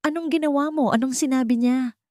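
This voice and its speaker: A woman's voice: high-pitched, a little fast, darkish tone, no room sound, clean studio sound, pitched above the performer's own voice, close to an everyday voice. A woman playing an anxious, vengeful young heroine in an audiobook drama, urgent and emotional. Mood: anxious, pleading.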